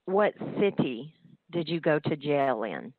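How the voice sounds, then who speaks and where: A woman's voice: deep voice, sing-song, a little slow. A woman working as a law office receptionist, talking politely and a little hesitantly with a caller. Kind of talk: phone conversation with a caller. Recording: muffled narrow telephone line.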